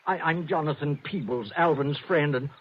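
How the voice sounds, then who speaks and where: A man's voice: a little high, normal speed, a little hiss or hum, natural voice. An actor playing a timid, distraught man in 1950s radio style, stammering and fretful. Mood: panicky, fretful. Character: nervous, distraught male friend, timid and fretful. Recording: muffled old radio broadcast.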